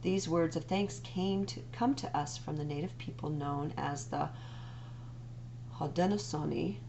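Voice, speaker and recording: Low-pitched woman reading a picture book aloud. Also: creaky, a little hiss or hum. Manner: expressive, deliberate storytelling for young children. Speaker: children's story time reader.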